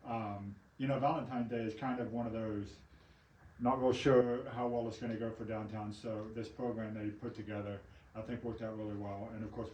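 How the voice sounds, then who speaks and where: A man with an Oklahoma accent hosting a casual live local news chat, relaxed and chatty, often laughing. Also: fairly low pitch. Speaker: local news show host. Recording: roomy sound, mic at a distance, faint background hum.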